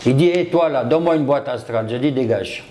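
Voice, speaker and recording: A man's voice: mid-range voice, brisk, warm tone. A man from Côte d'Ivoire, telling his own story emphatically as an interview guest. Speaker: interview guest, self-taught property developer.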